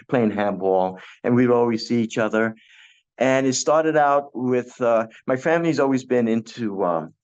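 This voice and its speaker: A man's voice: fairly low pitch, a little mellow, highs cut off. A man in his sixties, born in Puerto Rico and raised in Brooklyn, talking casually in an interview with many fillers and restarts. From born in Puerto Rico, raised in Brooklyn, New York. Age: 60s. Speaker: guest DJ.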